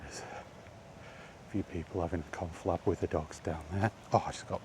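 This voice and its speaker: A man's voice: deep voice, fairly even intonation, normal speed. A man chatting casually and rambling in a wry, grumbling way while walking outdoors. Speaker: vlogger. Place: outdoors on a walk, under trees. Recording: faint outdoor ambient noise.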